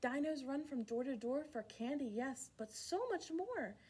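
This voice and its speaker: A woman hosting a children's storytime, reading a rhyming picture book aloud in an expressive, playful way with asides to young listeners. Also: high voice, normal speed, breathy edge. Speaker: children's library storytime host.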